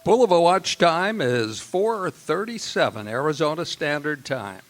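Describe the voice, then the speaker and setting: A man's voice: fairly high pitch, big pitch swings, unhurried, slight background noise. An American man announcing in the style of an old-time radio commercial, with an upbeat, emphatic sales delivery.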